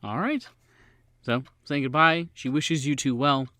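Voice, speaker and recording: A man improvising narration as game master of a tabletop game, speaking haltingly with frequent pauses. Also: on the high side, bright, forceful tone, dry recording.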